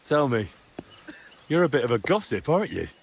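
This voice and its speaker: Casting: earnest, probing young medieval man, direct, animated intonation, natural game-drama delivery, some ambient noise. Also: mid-range voice, brisk. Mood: pressing. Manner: direct, questioning, reassuring yet pressing. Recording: clear background ambient noise.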